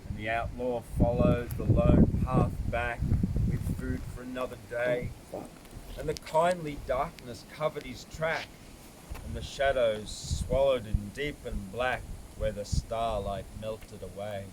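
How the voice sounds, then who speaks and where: Slow, dramatic man reciting, very noisy recording. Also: fairly even intonation. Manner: theatrical, measured, emphatic phrasing. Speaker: poetry reader. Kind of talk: dramatic recitation of a bush ballad. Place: outdoors at a cave site. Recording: very noisy, clicks, vehicle-like rumble.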